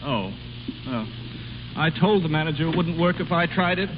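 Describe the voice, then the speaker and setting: Casting: man playing naive, deferential waiter, lightish high male voice, muffled vintage broadcast. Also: expressive, normal speed, close to an everyday voice. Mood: nervous. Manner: deferential, hesitant, naively stubborn. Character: earnest, smitten, apologetic hotel waiter. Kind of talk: 1930s radio comedy acting. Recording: old radio broadcast, muffled with clear hiss.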